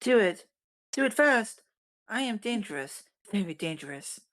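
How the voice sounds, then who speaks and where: A woman's voice: mid-range voice, very animated, moderate pace, fairly bright tone. A woman playing a defiant, desperate kid in an amateur screenplay table read. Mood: threatening.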